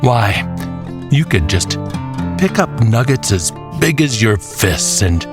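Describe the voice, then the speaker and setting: A man narrating a scripted dramatic tale in a folksy, deliberate storyteller's manner, with music behind him. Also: medium pitch, sing-song.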